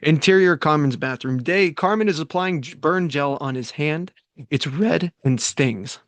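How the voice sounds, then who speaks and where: A man reading screenplay stage directions aloud at a table read, in a plain, quick manner. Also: fairly high pitch, fairly bright tone, dry recording.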